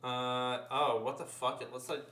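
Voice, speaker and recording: A man's voice: medium pitch, level tone, measured pace, sharp tone. A man co-hosting a casual pop culture podcast, blunt and crude with his swearing, recorded in an echoey room. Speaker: podcast co-host.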